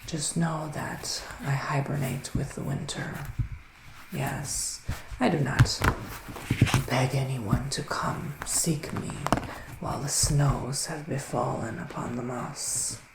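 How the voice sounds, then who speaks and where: Slow, hushed, creaky male ASMR witch. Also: mid-range voice, fairly even intonation. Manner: hushed, drifting in and out of whisper; unhurried, pausing between short phrases. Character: soothing, mystical male forest witch giving tarot readings. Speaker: ASMR roleplay performer. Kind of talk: ASMR psychic reading roleplay. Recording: roomy echo, faint background noise.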